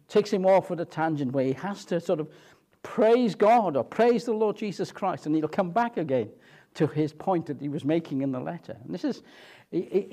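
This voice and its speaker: A man preaching in a mellow, dark-toned voice that sits a little high for a man. He teaches earnestly and explains patiently, with plenty of halting fillers and restarts, and he slows down for scripture readings. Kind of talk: evening sermon, expository Bible teaching.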